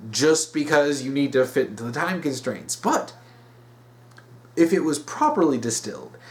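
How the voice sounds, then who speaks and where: A man hosting a solo opinion video, speaking off the cuff in an emphatic, halting way and repeating himself to stress his points. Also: fairly high pitch. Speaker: host of a weekly topic video series. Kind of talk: unscripted solo opinion commentary.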